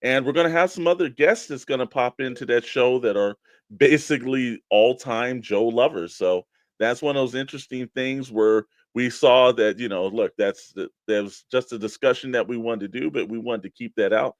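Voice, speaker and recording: A man's voice: mid-range voice, normal speed, dry recording. A man co-hosting a toy collectors' show, bantering casually and plugging programs with emphatic hype. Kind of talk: casual radio-style show banter and plugs.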